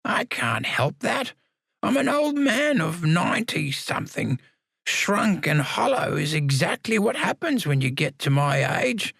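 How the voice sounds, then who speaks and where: A man voicing a stubborn, toothless old man in his nineties with a shrill, piping voice. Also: big pitch swings, unhurried, creaky, fairly bright tone, breathy edge, dry recording, heavily acted. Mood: cantankerous. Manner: stubborn, cantankerous.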